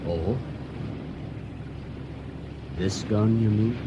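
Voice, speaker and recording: An actor playing a folksy, slippery younger boat navigator in a radio drama, hearty and over-reassuring. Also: male voice, fairly low pitch, very slow, noisy background. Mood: guarded, reassuring.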